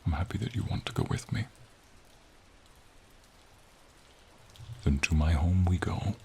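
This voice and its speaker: Man voicing a deep, gravelly, slow-spoken gentle dragon. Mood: warm, reflective. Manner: measured, pensive, slightly formal, with frequent pauses. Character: gentle, wise, lonely silver dragon befriending a knight. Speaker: voice actor in roleplay audio. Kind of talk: slow, intimate ASMR fantasy roleplay monologue. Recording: rain or ambient noise behind. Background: light rain, outdoor ambience.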